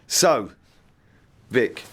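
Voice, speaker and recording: A man playing a laconic gang boss in a comedy radio play, clipped, measured and quietly in command.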